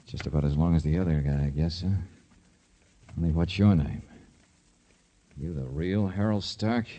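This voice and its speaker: An actor playing a tough, wisecracking American private eye in a 1950s radio drama, terse, wry and insistent, on a noisy old recording. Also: male voice, low voice, moderate pace, mellow, lightly acted. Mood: wary, wry.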